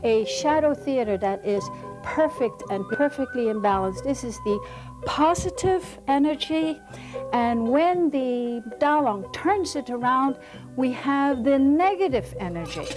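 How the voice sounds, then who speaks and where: A woman's voice: sits high, animated, measured pace, over music, rough recording. A woman puppeteer giving an explanatory demonstration. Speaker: puppeteer presenting shadow theater.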